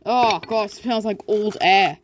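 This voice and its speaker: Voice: mid-range voice, measured pace, background noise. A person giving casual, rambling commentary with crude, sweary, jokey muttering, recorded outdoors on a handheld phone. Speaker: amateur video maker. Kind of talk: casual rambling commentary while smashing a toy. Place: outdoors on pavement beside a brick wall. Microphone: handheld phone close to the speaker.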